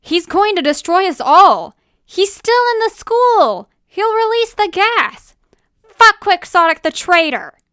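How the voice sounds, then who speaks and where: A woman voicing a furious, vengeful male villain, yelling frantically in a storybook. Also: very high-pitched, big pitch swings, slow pace, no echo, studio quality, pitched above the performer's own voice, full character voice. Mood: panicked, furious.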